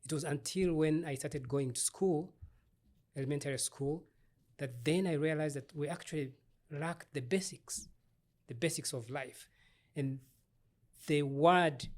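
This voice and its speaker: A middle-aged man from Uganda, an obstetrician-gynecologist, telling his life story in an interview in a thoughtful, measured way with small restarts. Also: a little high, a little mellow.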